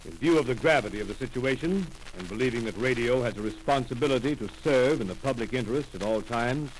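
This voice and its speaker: A man's voice: mid-range voice, expressive, fast, close to an everyday voice. A man playing a grave network executive. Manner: formal, grave. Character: formal male broadcasting executive. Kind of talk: 1930s radio drama acting. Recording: scratchy 1930s radio recording.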